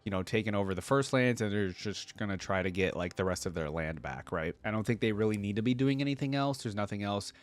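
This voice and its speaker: A man's voice: on the low side, lively intonation, fast talker, hardly any pauses, faint music behind. A man hosting a reaction video, giving casual, conversational commentary that rambles along.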